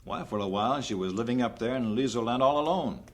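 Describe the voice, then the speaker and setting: A man playing an earnest, confident bishop who directs a mission, speaking in a measured, persuasive way in an old-fashioned radio drama. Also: medium pitch, close to an everyday voice. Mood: admiring, assured.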